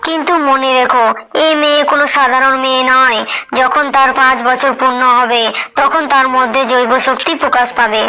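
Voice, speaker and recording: A woman voicing a gentle, regal lotus queen mother in a cartoon who talks earnestly. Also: high voice, fairly even intonation, normal speed, smooth. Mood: solemn.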